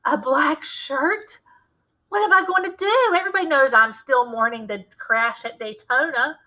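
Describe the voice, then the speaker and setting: A woman's voice: high-pitched, normal speed, bright, forceful tone, pitched above the performer's own voice. A woman voicing a panicky, gloomy young boy who wails and whines dramatically in a children's novel read-aloud. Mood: scared, desperate. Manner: dramatic, wailing and whining. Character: panicky, gloomy boy, easily scared, slow-thinking friend.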